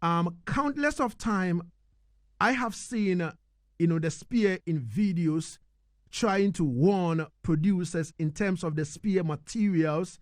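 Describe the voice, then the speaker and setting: A man's voice: high-pitched, slow, no echo, studio quality. A man hosting a radio interview, feeling his way into questions in a conversational style.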